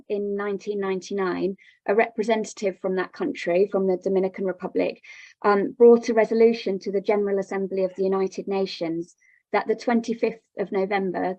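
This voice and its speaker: A woman's voice: medium pitch, warm tone, no echo, dull audio. A woman speaking as a radio guest, giving an explanatory answer in an interview.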